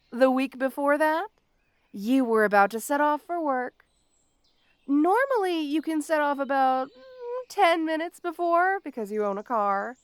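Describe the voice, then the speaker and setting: A woman playing a petty, obsessed female supervillain in an audio roleplay, theatrical and taunting, with mock-sweet, drawn-out words. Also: sits high, no echo. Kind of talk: scripted audio roleplay addressed to the listener.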